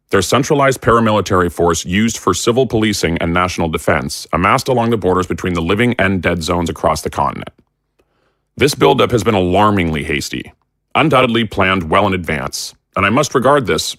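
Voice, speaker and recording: A man's voice: sits low, a bit bright, dry recording, studio quality, heavily acted. A man playing a grave army general. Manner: formal, measured, ominous.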